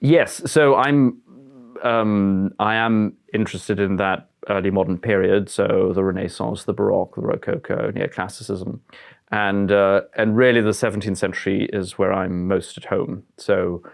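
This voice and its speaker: A man's voice: fairly low pitch, fairly even intonation, moderate pace, vocal fry. A man of about forty who grew up in France, a museum curator giving thoughtful, searching answers in an interview. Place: historic house, indoors.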